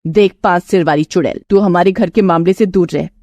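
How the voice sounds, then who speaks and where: A woman's voice: fairly low pitch, brisk, warm tone, no echo, natural voice. A woman voicing a cruel, scornful middle-aged mother-in-law, scolding and threatening in a melodramatic storybook style. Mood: menacing.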